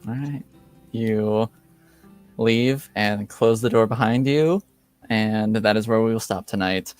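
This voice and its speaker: A man's voice: fairly low pitch, slow pace, a little mellow, soft background music. A man running a tabletop game, narrating and chatting casually in a wry, slightly hesitant way. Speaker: game master.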